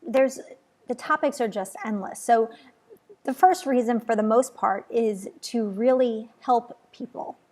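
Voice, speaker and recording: A woman's voice: a little high, a little slow, a little mellow. A woman hosting an interview show, upbeat and enthusiastic as she leads the conversation. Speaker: show host, business coach. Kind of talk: interview host chat.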